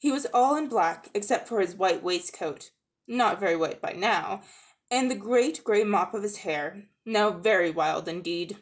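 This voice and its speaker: A woman reading a children's story aloud in an expressive, dramatic narrating style, with the odd stumble. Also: mid-range voice, measured pace. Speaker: amateur audiobook reader.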